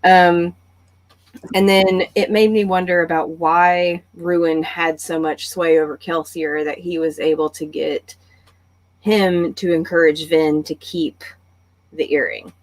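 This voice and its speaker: A woman's voice: on the low side, fairly even intonation, slow. A woman hosting a casual book club discussion, talking conversationally and thinking aloud as she goes.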